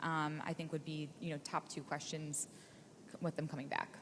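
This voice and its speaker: A woman investor on a panel speaking in a measured, earnest way. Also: low voice, flat delivery, a bit bright, slight background noise. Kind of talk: panel discussion. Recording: reverberant hall with faint ambience.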